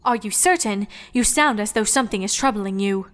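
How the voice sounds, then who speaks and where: A woman voicing a gentle, caring night princess pony with formal, old-fashioned phrasing in a storybook reading. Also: medium pitch, fairly quick, big-room sound, natural voice. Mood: gently concerned.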